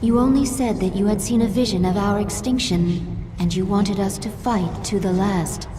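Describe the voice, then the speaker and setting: A woman playing a dutiful female adviser to an alien queen in a video game, speaking in a formal, composed, deliberate manner. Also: mid-range voice, noisy background, natural voice. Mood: solemn. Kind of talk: video game announcements and dialogue.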